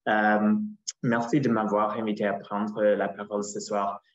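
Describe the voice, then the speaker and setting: A man's voice: on the low side, level tone, unhurried. A man from Saskatchewan, a native English speaker with an English-Canadian accent, carefully reading a prepared conference presentation in French. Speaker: ophthalmologist presenter. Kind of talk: scripted presentation. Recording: echoey, dull lo-fi audio.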